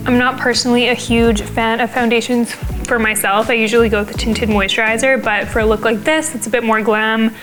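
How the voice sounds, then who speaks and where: A young woman, slightly raspy as she gets over a cold, explaining a makeup look step by step in a casual, chatty way. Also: fairly high pitch, fairly even intonation, fairly quick, fairly bright tone, soft background music. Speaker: beauty vlogger.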